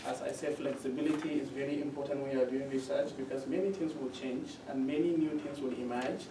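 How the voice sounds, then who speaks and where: A man sits on an academic panel and gives advice in a measured way, stopping often to search for words. His voice is middle in pitch and sounds distant. The room echoes, and noise runs under it. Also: steady tone. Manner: measured, with frequent pauses and fillers.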